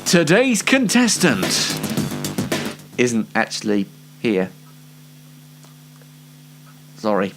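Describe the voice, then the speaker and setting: A man's voice: medium pitch, huge pitch swings, extremely slow, pauses often, music in the background. A man hosting an online variety show in a chatty, playfully theatrical, mock-dramatic way. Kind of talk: chatty scripted-style presenting with ad-libs.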